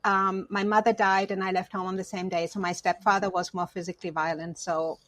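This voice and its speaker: A woman's voice: medium pitch, level tone, a little fast, a bit bright, no echo. A woman from Germany, not an English speaker, speaking reflectively about personal matters as an interview guest. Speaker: podcast guest, counselor.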